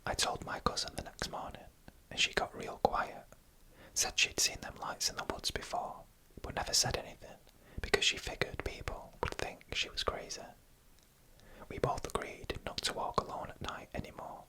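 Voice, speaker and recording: Soft whisper, unvoiced, ASMR narrator, scary storytelling, deliberate short phrases, unhurried pauses. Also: poor audio.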